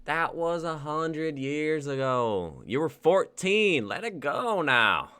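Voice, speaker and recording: A man's voice: on the high side, very animated, slow, sharp tone, clean tone, heavily acted. A man voicing Ringo, a cocky outlaw who swears and drawls.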